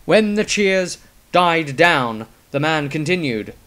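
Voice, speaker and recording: A man's voice: on the high side, expressive, takes it slowly, fairly bright tone. A man reading scripted fantasy narration as a storyteller, in a solemn, theatrical manner. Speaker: author narrating own story.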